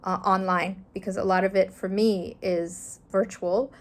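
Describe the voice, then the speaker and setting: A woman's voice: medium pitch, steady tone, measured pace. A middle-aged woman from Afghanistan, a journalist, talking earnestly and thoughtfully in an interview, with some fillers. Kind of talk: reflective interview answers.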